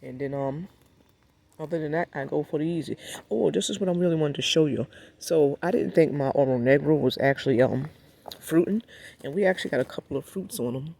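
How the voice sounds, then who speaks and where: A man with a raspy, hoarse voice from a cold, giving a laid-back, rambling walkthrough of his backyard garden and talking straight to his viewers. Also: fairly high pitch, a little fast, darkish tone. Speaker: gardening vlogger. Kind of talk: casual walkthrough vlog.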